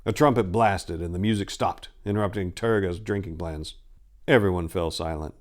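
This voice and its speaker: A man's voice: low-pitched, moderate pace. A man narrating a fantasy story as a dramatic reading, in a theatrical storytelling manner.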